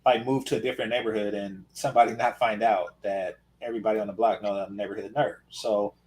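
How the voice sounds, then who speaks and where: A man's voice: on the low side, fairly even intonation, fast, darkish tone, low-quality recording. A man from Oakland chatting casually as a podcast co-host, telling stories in a wry, matter-of-fact way. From Oakland, California. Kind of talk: casual chat.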